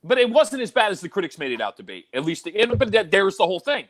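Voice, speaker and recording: A man co-hosting a casual podcast, telling stories in an animated way and quick to joke. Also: sits high, a crowd in the background. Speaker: podcast co-host, DJ. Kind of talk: casual chat.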